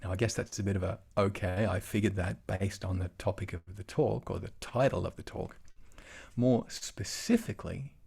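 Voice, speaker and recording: A man's voice: deep voice, moderate pace, gravelly, a little mellow, slightly rough. An Australian man giving an online tech talk over a video call, chatty, with self-deprecating asides. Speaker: conference speaker.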